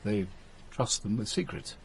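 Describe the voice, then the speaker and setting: An actor playing a calm, secretive smuggler and protective lover in a radio drama, with a measured, assured manner. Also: male voice, fairly low pitch, gravelly, breathy edge, full character voice. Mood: tender, serious.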